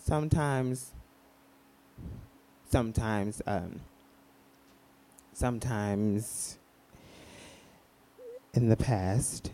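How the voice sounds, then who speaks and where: An actor playing a timid, wistful radio show caller who speaks in a hesitant, halting way. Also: male voice, on the low side, warm tone. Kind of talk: radio drama acting.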